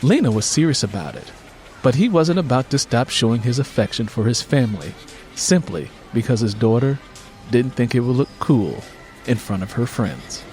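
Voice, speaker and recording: A man reading a story as a scripted fiction narrator, in an expressive storytelling manner. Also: mid-range voice, moderate pace, soft background music. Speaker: audiobook narrator.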